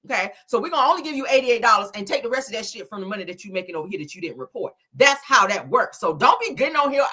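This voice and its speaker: A woman giving animated online reaction commentary in a blunt, scolding, emphatic manner, swearing freely and repeating phrases for effect. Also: on the high side, rapid-fire, slightly rough. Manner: blunt, scolding, heavy profanity. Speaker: YouTuber commentating on celebrity and viral topics.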